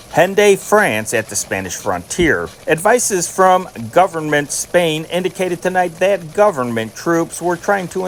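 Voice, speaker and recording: A man reading scripted news in the manner of an old radio newscast, with a formal, measured announcer delivery. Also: fairly high pitch, slight background noise. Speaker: news reader, channel host.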